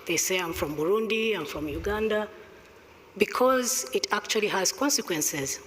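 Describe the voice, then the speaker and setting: A deliberate, emphatic panel speaker from Rwanda who drives each point home by repeating it. The voice sits at a middle pitch. It rings with the long reverb of a conference hall. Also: animated, fairly bright tone, slight background noise. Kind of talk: panel discussion answer.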